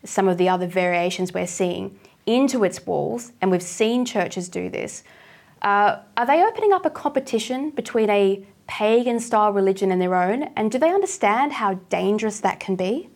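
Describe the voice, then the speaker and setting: A woman's voice: medium pitch, lively intonation, normal speed, gravelly, a little mellow. Woman host asking pointed questions. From Australia. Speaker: show host. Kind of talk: interview questions.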